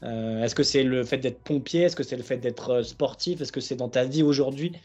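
A man hosting a podcast, asking measured interview questions and laying out several options in turn. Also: medium pitch, a little mellow, dull audio, faint music behind. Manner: measured, listing examples and options. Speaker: podcast host.

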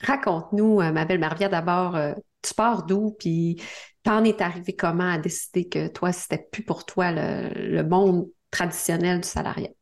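A woman's voice: on the low side, fairly even intonation, normal speed. A middle-aged woman with a Quebec French accent, hosting a podcast with effusive, encouraging introductions and questions. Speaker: podcast host, coach and speaker.

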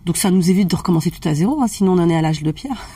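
A woman's voice: fairly low pitch, animated, very fast, mellow, breathy edge, recorded from a distance. A woman practitioner explaining family constellations in casual conversation. She checks in often with her listener. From family of Algerian roots.

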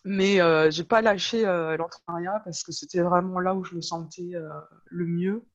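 A woman's voice: lowish voice, steady tone, normal speed, highs cut off. A woman speaking as a podcast guest, telling her own story casually in a chatty way, often searching for her words. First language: French. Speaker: podcast guest, web entrepreneur. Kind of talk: casual interview, personal storytelling.

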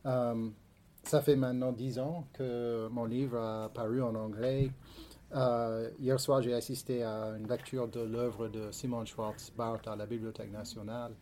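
A historian whose French is not his first language, talking slowly through prepared remarks with frequent fillers and restarts. His voice is mellow and dark. A faint hum sits behind him. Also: mid-range voice, little pitch movement. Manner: hesitant, many fillers and repeated words. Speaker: historian and author, panel guest. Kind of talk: academic panel discussion, partly reading prepared text.

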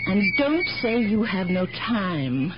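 Lively, philosophical elderly aunt, old radio sound. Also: female voice, medium pitch, loud music behind the voice. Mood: fond, firm. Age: older. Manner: gently contrary, deliberate, speaks in riddles and aphorisms. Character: sharp, philosophical, independent elderly aunt, amateur sleuth. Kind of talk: 1970s radio drama acting. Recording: dull, noisy 1970s radio broadcast recording.

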